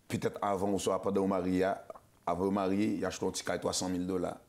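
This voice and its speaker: A man's voice: sits low, flat delivery, quick pace, a little mellow. A man with a Haitian accent hosting a casual talk show. He stammers and restarts a lot and mixes Creole and English words into his French. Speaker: show host.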